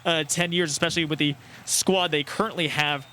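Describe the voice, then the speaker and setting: A young man doing color commentary on a basketball game in an unscripted analytical style. His voice is bright and a bit high, with faint background noise behind it. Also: fairly even intonation, a little fast.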